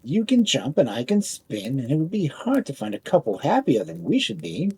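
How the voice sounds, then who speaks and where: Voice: medium pitch, wildly swooping pitch, normal speed, darkish tone, slightly rough, heavily acted. A storyteller voicing a boastful, lovesick toy spinning top in a fairy tale, earnest and self-important. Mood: eager, boastful.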